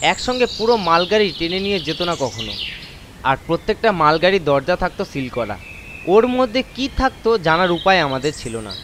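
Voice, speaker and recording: A man with a high voice narrates a first-person horror tale as a dramatised reading. Clear outdoor ambience with birds runs behind his words. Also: very animated, a little fast, background noise. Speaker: story narrator. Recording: constant nature ambience effects behind voice.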